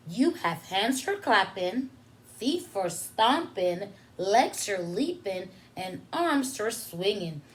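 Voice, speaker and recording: A woman reading aloud to young children as a preschool teacher, explaining as she goes and posing simple questions. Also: medium pitch. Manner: instructional, asks questions and pauses for answers. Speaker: preschool teacher hosting story time. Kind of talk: read-aloud story time for young children with teaching asides.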